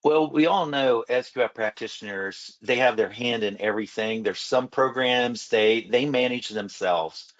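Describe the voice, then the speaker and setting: A man working as a food safety consultant, a little raspy from a recent illness, answering panel questions and telling stories from his work. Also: mid-range voice, normal speed, few pauses, highs cut off. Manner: storytelling, repeats words when restarting.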